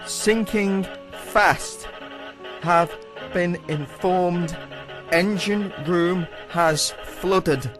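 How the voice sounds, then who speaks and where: A man playing an anxious, desperate ship's wireless operator in a radio play, with loud music behind him. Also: high-pitched, drawn-out words. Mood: urgent. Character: anxious young male Titanic wireless operator, dutiful and desperate. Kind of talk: amateur radio play acting.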